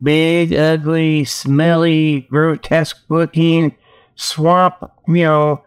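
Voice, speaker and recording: Folksy, rambling male host, slightly high-pitched. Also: level tone, extremely slow, smooth. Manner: folksy, joking, chuckling at own jokes; repeats "okay" as a verbal tag. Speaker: solo host of a comedy internet radio show. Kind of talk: rambling casual monologue with mock sales pitches.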